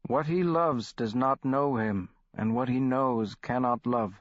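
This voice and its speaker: Man voicing solemn old shaman, measured, dry dull audiobook recording. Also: mid-range voice, no echo, close to an everyday voice.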